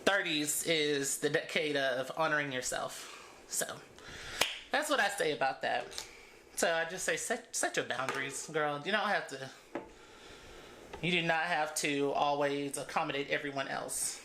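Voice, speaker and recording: A woman's voice: low voice, measured pace, pushed and bright, reverberant, slight background noise. A woman in her thirties chatting casually as she braids her hair for her vlog, laughing often between thoughts. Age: 30s. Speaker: beauty vlogger.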